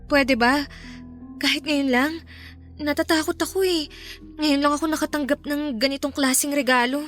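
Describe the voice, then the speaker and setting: An actress playing a young woman musician in a radio drama, speaking in a casual, expressive, naturalistic way over music. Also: high-pitched, moderate pace. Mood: uneasy, scared. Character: young woman band musician, friendly, easily frightened. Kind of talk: radio drama dialogue in conversational Filipino.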